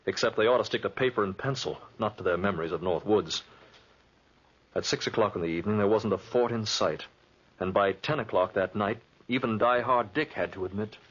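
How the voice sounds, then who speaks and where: A man's voice: on the low side, fairly quick, a bit bright, breathy edge. A man playing a grumbling, dryly sardonic traveler who also narrates in a 1940s radio drama. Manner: dry, sardonic. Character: wry, grumbling married young traveler and narrator Charles. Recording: dull lo-fi 1940s radio recording.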